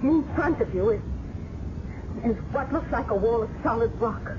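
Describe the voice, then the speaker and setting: An actress plays a hesitant woman informant in a fairly high voice. She picks her words carefully and haltingly, on a dull, noisy 1970s radio drama recording. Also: animated, close to an everyday voice. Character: hesitant female informant giving away a hideout.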